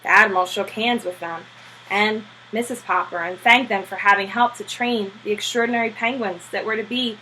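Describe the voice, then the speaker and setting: A woman reading a children's novel aloud as a storybook narrator, with a husky voice. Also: mid-range voice, fairly even intonation, moderate pace, pushed and bright, slight background noise, poor audio. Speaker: storybook reader.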